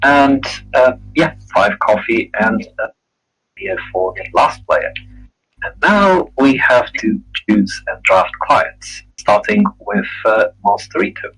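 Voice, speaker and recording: A man setting up and explaining a board game, halting with many fillers. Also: mid-range voice, animated, a bit bright, breathy edge, rough recording. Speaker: game referee.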